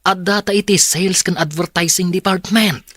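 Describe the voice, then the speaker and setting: A man's voice: sits high, fairly even intonation, moderate pace, fairly bright tone, no echo, clean studio sound. A man voicing a stern, blunt company manager in a radio drama.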